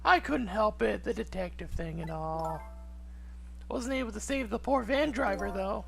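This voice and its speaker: A man's voice: high-pitched, moderate pace, vocal fry, fairly bright tone, voice pushed up, full character voice. A man voicing a chatty, stubborn young woman detective from a video game. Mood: defensive, wry. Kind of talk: video game character dub in a let's play.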